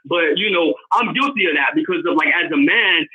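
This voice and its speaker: A man with a New York accent calling in, talking fast and earnestly. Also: on the high side, breathy edge. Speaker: caller. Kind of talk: casual chat. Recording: muffled phone-in audio.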